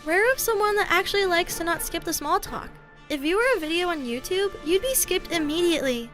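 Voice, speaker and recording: A woman's voice: very high-pitched, animated, fairly quick, a bit bright, soft background music. A woman voicing a polite, frank, shy middle-school girl in a manga dub, speaking earnestly and hesitantly. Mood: deadpan teasing. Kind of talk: anime-style manga dub dialogue.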